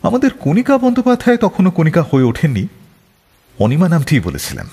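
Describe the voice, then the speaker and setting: Guy hosting radio, dramatic storyteller, really animated pitch, lots of reverb. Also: a little fast, husky. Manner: dramatic, expressive storytelling delivery. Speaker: radio show host. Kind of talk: scripted radio storytelling narration.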